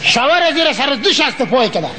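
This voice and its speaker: A man's voice: very high-pitched, very animated, bright, forceful tone, exaggerated voice, poor audio. An actor with a Kabul Afghan accent playing a sly, greedy male fortune teller in a stage comedy, theatrical and given to repeated exclamations. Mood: solemn, sly. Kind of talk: broad stage comedy acting. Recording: old stage recording with audience noise.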